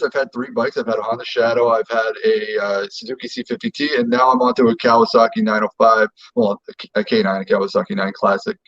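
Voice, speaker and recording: Guy from quebec calling into a live stream on his phone, flat monotone voice, kinda dull muffled sound. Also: medium pitch. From Quebec, Canada. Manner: halting, with restarts. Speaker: guest biker. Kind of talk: casual chat on a live stream.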